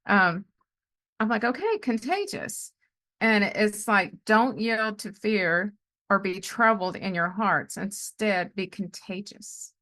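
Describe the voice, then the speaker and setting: Bright-voiced chatty middle-aged woman. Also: medium pitch, slow pace. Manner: chatty and animated, often acting out reported dialogue. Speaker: podcast host and life coach. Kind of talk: casual conversational storytelling with faith testimony.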